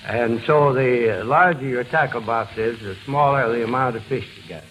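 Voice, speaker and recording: A man, a professor on a radio quiz panel, explaining with deadpan, hesitant scholarly delivery on a crackly vintage recording. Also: mid-range voice, moderate pace.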